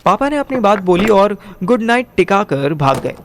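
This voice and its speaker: A man's voice: high voice, very animated, normal speed, a little hiss or hum. A young man narrating a first-person audio drama in colloquial Hindi mixed with English words. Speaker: narrator and lead character.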